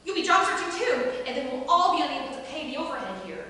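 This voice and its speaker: A woman's voice: high voice, sing-song, fast talker, sharp tone, exaggerated voice. A woman acting a pressuring, pleading workplace boss in a table reading of a stage play. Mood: desperate. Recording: echoey hall, distant mic.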